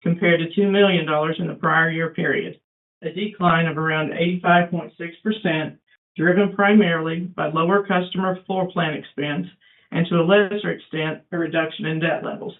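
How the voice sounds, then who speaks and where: A woman's voice: fairly low pitch, fairly even intonation, normal speed. A woman speaking as chief financial officer, reading scripted financial results in a formal, businesslike way. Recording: thin conference phone line.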